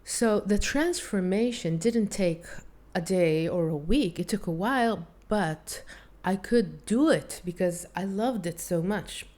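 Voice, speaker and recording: An earnest woman with a Hebrew accent speaks English as a second language, taking her time with it. She talks straight to camera in one take, reflective and personal, with a few small slips. Her voice sits at a middle pitch for a woman. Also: animated, a little slow, darkish tone. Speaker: Hebrew teacher and vocal coach.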